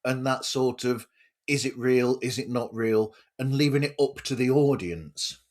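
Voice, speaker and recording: Slow-paced male podcast host. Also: medium pitch, a little mellow. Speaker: podcast host interviewing a guest. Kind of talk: casual interview.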